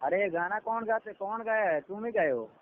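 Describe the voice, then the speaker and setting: Aggressive male caller, very high voice, confrontational and foul-mouthed, thin phone-line sound. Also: lively intonation, moderate pace. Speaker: abusive caller.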